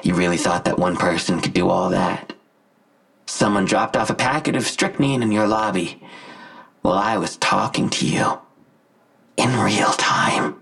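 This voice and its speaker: A man playing a smug, gloating imprisoned villain in an audio drama, slow and mocking, with a gravelly, husky voice. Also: low-pitched, fairly bright tone, heavily acted. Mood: taunting, impatient.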